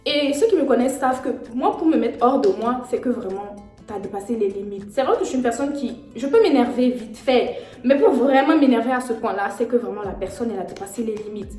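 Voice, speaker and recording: A young woman vlogger telling a storytime in an animated, slangy way. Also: fairly high pitch, a little fast. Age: young adult. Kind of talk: storytime vlog. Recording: echoey room with faint music.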